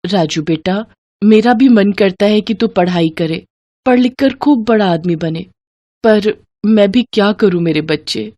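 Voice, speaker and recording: A woman's voice: mid-range voice, a little slow, mellow, dry recording, studio quality, natural voice. A woman voicing a poor, devout, loving mother in a story, tender, earnest and pleading. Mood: sorrowful, helpless.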